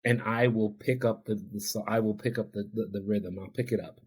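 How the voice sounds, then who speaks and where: A man's voice: lowish voice, steady tone, brisk, darkish tone, husky. Earnest man, Spanish his first language. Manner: earnest, heartfelt, reflective. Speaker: addiction counselor, podcast guest. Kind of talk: podcast interview, personal storytelling.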